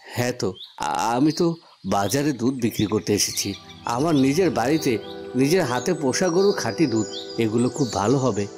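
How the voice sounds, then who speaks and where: A man's voice: mid-range voice, animated, normal speed, natural voice. A man voicing a good-natured, honest young village milk seller in a cartoon. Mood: eager. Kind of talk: cartoon voice acting. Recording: music and birdsong behind the voice.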